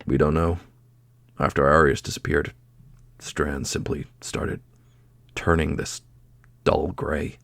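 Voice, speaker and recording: A man playing a solemn, formal guardian of mystical obelisks in a fantasy audio roleplay, with a gravelly, breathy, measured voice. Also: extremely low pitch, level tone, a bit bright, dry recording, heavily acted. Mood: somber.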